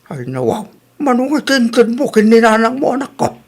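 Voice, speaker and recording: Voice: medium pitch, big pitch swings, normal speed. A performer with an Ilocano accent playing a grumbling, complaining old husband and father in a radio comedy drama, with exaggerated exclamations.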